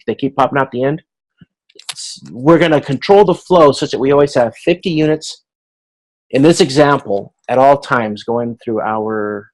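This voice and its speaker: A man teaching an informal online class, explaining step by step in a halting way and voicing hypothetical exchanges as examples. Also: mid-range voice, darkish tone, rough recording. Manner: explanatory, halting, checks understanding, acts out hypothetical dialogue. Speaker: instructor. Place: video conference class.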